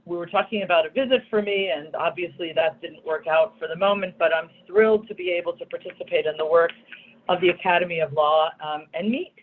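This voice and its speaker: A woman's voice: lowish voice, a little fast, a little hiss or hum. A woman law school dean opening a lecture over a video call, gracious and articulate as she thanks her hosts. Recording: tinny phone-like audio.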